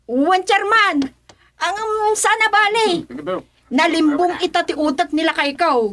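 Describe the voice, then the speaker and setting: A woman's voice: extremely high pitch, a little slow, a bit bright. A woman playing an outspoken village secretary in a radio comedy drama, with an Ilocano accent. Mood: pert, protesting.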